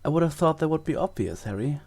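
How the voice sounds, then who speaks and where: A man playing a kindly, mild wizard professor in an audio drama, gentle and thoughtful in manner. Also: a little high, expressive, quick pace, breathy edge. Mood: thoughtful, calm. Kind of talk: fan audio drama acting.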